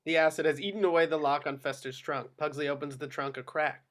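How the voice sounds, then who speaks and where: Fairly high-pitched man reading stage directions. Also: steady tone, fast talker, a bit bright. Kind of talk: scripted narration at a table read.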